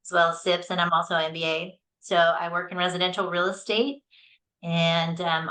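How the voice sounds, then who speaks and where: A woman's voice: lowish voice, sharp tone, poor audio. A woman realtor introducing herself casually on a video call. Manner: casual, some fillers.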